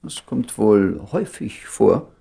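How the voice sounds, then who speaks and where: A middle-aged physician in a radio play, familiar and gently teasing. His husky voice is fairly low, with lively rises and falls. He probes with wry concern. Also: takes it slowly, heavily acted. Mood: probing, hesitant. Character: concerned, probing doctor and old friend, gently teasing. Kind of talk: German radio play acting.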